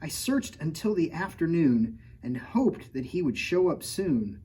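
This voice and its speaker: A man's voice: a little high, sing-song, unhurried, dark tone, husky, heavily acted, low-quality recording. A man reading the rhyming picture-book part of an innocent, self-justifying three-year-old girl in a sing-song cadence. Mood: explaining, dismayed.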